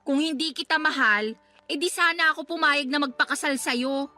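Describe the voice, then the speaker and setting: A young woman acting a careless, irritable girlfriend in a radio drama, quick to snap back. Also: high-pitched, sharp tone, faint music behind. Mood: irritated. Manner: snappy, dismissive, defensive.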